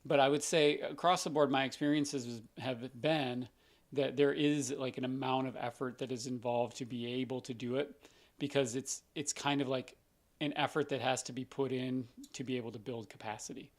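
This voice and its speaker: Doc talking on a live stream, voice a bit scratchy, easygoing, says like a lot. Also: male voice, medium pitch, fairly even intonation, normal speed. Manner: conversational, explains with everyday examples, many "like" fillers. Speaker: doctor hosting a live Q&A.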